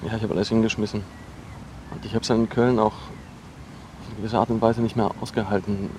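An actor playing a defensive, awkward young dropout in a naturalistic radio drama, halting and repeating himself as he talks. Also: fairly low pitch, level tone, a little mellow, lightly acted. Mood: awkwardly confiding. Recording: noisy location recording with ambient hum.

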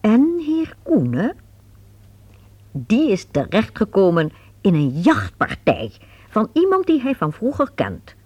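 A woman narrating a radio play in a lively, expressive storytelling manner. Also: mid-range voice, slow, breathy edge.

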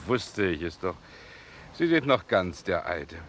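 An actor playing a mocking, self-assured gentleman in a deliberate, musing manner, in the style of a 1960s radio play. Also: deep voice, sing-song, exaggerated voice. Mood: amused mockery. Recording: noisy vintage 1960 radio recording.

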